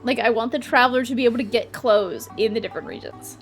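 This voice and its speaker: A woman streaming a video game, reacting casually out loud. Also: on the high side, quick pace. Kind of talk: casual live reaction commentary. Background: game music.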